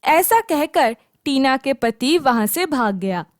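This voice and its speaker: A woman's voice: high-pitched, expressive, takes it slowly, dry recording, clean studio sound. A woman narrating a scripted children's story.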